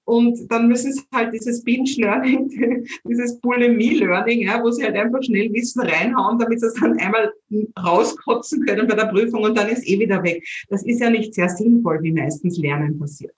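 A woman's voice: on the high side, normal speed, hardly any pauses, a little hiss or hum. Woman, Viennese accent, middle-aged, opinionated, roomy recording. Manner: lively, opinionated, repeats words when starting sentences. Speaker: guest, learning coach and entrepreneur. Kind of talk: casual conversational interview.

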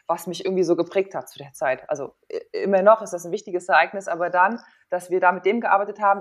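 Candid, lowish-voiced woman telling her story. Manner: informal, candid, thinks aloud with self-quoted thoughts. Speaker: interview guest, former athlete and sports psychologist. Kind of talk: casual personal storytelling in an interview.

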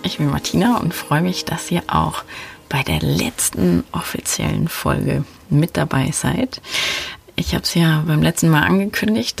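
A woman's voice: low-pitched, creaky, husky. A woman hosting a podcast, talking casually and thinking aloud as she answers listener questions. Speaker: podcast host.

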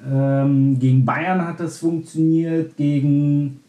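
A man's voice: on the high side, fairly even intonation, slow pace, mellow, reverberant. Chatty Berlin-born man hosting a football podcast. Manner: chatty, hesitant, many restarts and fillers. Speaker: podcast host. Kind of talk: casual football podcast chat.

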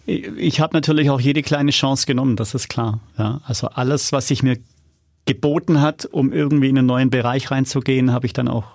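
A man's voice: mid-range voice, steady tone, fairly quick, gravelly, a little mellow, no room sound, clean studio sound. A man speaking informally as an interview guest, telling anecdotes about his own work in a conversational way. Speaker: interview guest, audio drama and documentary producer.

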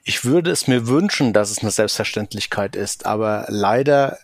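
A man in his fifties with a Hessian accent, a leadership coach explaining calmly as a podcast guest, with frequent fillers. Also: lowish voice, normal speed, no room sound, studio quality. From Rhine-Main area, Germany. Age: 50s. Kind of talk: podcast interview.

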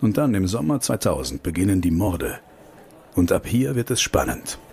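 A lowish male voice as a determined investigator in a political radio play. He is measured and knowing, disillusioned with the state and drily sardonic. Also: big pitch swings, vocal fry, darkish tone, exaggerated voice. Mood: grave, insistent.

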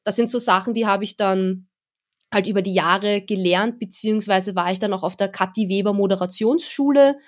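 Chatty young woman, relaxed and easygoing. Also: medium pitch, normal speed. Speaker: guest, event presenter. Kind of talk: casual interview talk.

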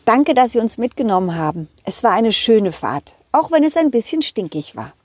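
Animated, high-voiced woman storyteller. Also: moderate pace, slightly rough, no echo. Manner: childlike enthusiasm, addresses children directly. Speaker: puppeteer storyteller voicing a dog hero. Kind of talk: animated children's storytelling, in character.

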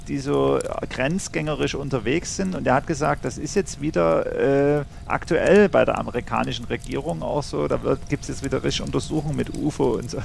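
A man's voice: medium pitch, creaky, darkish tone, a little hiss or hum. A man in his thirties from Saxon Switzerland, chatting casually on a podcast. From Saxon Switzerland, eastern Germany. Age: 30s. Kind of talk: casual chat.

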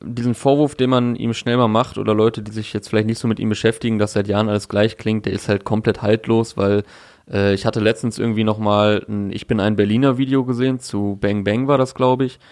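A man's voice: on the low side, level tone, brisk, a little mellow, dry recording, clean studio sound. A young man in casual chat, talking through a music release with fillers and restarts. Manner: thoughtful, many fillers and restarts. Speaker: podcast co-host discussing music.

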